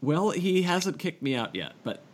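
A man's voice: fairly high pitch, very animated, normal speed. Self-deprecating man chatting casually. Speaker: podcast co-host. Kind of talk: casual chat among friends.

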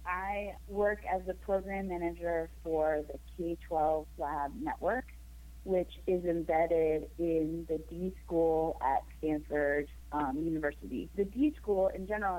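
A woman guest, hoarse from a cold, explaining her work in an upbeat way. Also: fairly low pitch, warm tone, rough recording. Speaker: program manager, guest. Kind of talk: phone interview.

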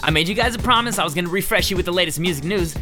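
A man's voice: sits high, rapid-fire. A man hosting a comedy show, wisecracking through music news and chatting with a guest. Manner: wisecracking, animated. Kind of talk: comic music news chat.

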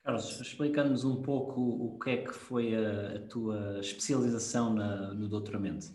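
A man's voice: lowish voice, fairly even intonation, normal speed, warm tone, reverberant. A man with a European Portuguese accent interviewing a guest, asking informal and somewhat stumbling questions. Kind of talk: conversational interview.

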